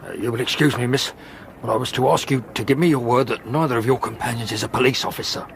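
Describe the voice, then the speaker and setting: A man's voice: medium pitch, fast, vocal fry, close to an everyday voice. An actor playing a small, watchful coachman in a radio drama, formal and deferential but firm. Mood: curt, polite. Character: small watchful coachman, curt and polite messenger. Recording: noisy street ambience in radio drama.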